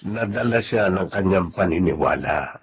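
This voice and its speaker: A man's voice: sits low, expressive, moderate pace, slight background noise. A man acting in a Filipino radio drama as a hurt but consoling middle-aged father, restrained and devout. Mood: solemn, prayerful.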